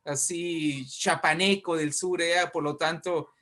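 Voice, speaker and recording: A middle-aged man with a northern Mexican accent speaks as a guest in an interview. His voice sits a little high for a man. He tells things in an animated, discursive way and often checks that the listener follows. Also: slow pace. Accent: northern Mexican Spanish. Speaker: guest writer and poet. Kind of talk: conversational interview storytelling.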